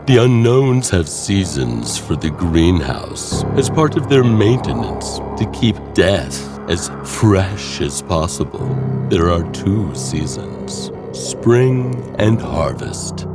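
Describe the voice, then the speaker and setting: A man narrating scripted horror tales as host, in a theatrical, wry manner with drawn-out phrasing. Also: deep voice, big pitch swings. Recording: music under the voice.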